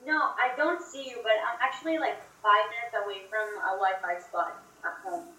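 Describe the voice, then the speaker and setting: A woman, a guest chatting casually and relaxed from a car. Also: fairly high pitch, moderate pace, roomy sound, far from the mic, poor audio. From Venezuela. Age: 20s. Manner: relaxed, casual, says "like" a lot. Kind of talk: casual interview on a call. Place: passenger in a moving car.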